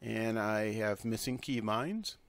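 Male tutorial presenter, gravelly, halting and unscripted, in a dry close recording. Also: on the low side, no room sound.

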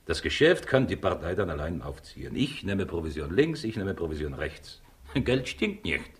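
An actor playing a cynical, greedy Soviet agent in a 1960s radio crime play, smug and lecturing. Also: male voice, deep voice, big pitch swings, moderate pace. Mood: cynical, calculating. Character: cynical, scheming Soviet agent, male, greedy and self-assured. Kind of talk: 1960s German-language radio crime drama acting.

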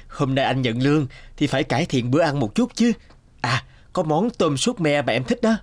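A man playing a gentle, doting working-class young husband in a cartoon, caring and plain-spoken. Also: a little high, lively intonation, moderate pace.

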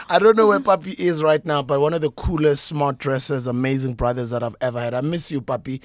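A South African man in his forties hosts a podcast conversation, his voice sitting slightly high. He chats easily, asks questions and throws in shout-outs. He speaks close to a desk boom mic in a dry, dead studio. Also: fairly even intonation, brisk, no echo. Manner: warm, chatty, gives shout-outs. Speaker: podcast host. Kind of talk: casual interview conversation.

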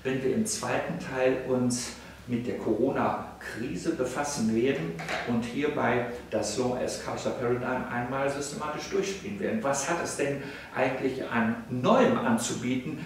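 Older professor in an echoey room. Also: male voice, mid-range voice, a little hiss or hum. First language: German. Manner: formal, rhetorical, with frequent hesitations. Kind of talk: freely spoken video lecture. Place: indoor salon room, seated on a sofa. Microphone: camera at a distance. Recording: very echoey room, distant mic.

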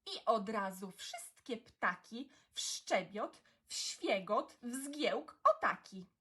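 A woman narrating a children's poem in an expressive, theatrical style with deliberate phrasing. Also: fairly high pitch, husky. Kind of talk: expressive children's poetry reading.

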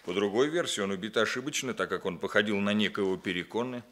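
An actor playing a stern, methodical police captain in a 1960s Soviet radio play, deliberate, authoritative and dryly ironic. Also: male voice, lowish voice, a bit bright, lightly acted.

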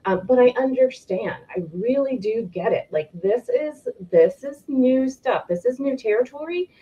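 A woman's voice: high voice, lively intonation, normal speed, warm tone. Thoughtful, hesitant woman on muffled call. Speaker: college faculty panelist. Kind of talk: panel discussion. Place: home room, close to computer on video call. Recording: slightly dull video-call audio.

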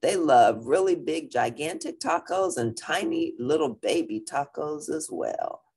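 A woman with a husky voice reading a picture book aloud to young listeners in an animated, deliberate manner, with brief asides. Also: sits low. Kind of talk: expressive children's read-aloud with brief asides.

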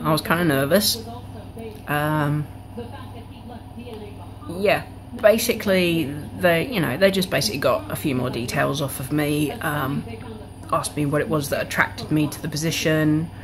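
A woman's voice: low-pitched, rough recording. A woman, chatting casually to camera in a hesitant, rambling way in a living room. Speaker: vlogger. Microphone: camera or webcam at arm's length.

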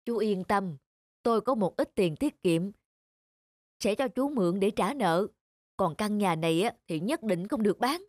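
A man voicing a modest, kindly, middle-aged older brother in a cartoon, calm and plain-spoken. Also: high-pitched, a little slow, no echo, clean studio sound. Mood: reassuring. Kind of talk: animated cartoon dialogue.

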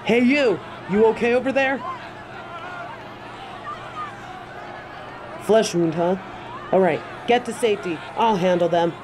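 Woman as confident tiger warrior woman, stern, wry, matter-of-fact, mid-pitched, audio drama, clear ambient noise. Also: normal speed, crowd noise, natural voice. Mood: brisk, surprised. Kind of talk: scripted audio roleplay. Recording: clear ambient sound effects behind voice.

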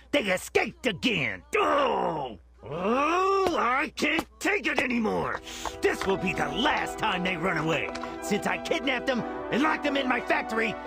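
An actor playing a deranged, cruel ice cream man villain in an animated game cutscene, with music behind. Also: medium pitch, gravelly.

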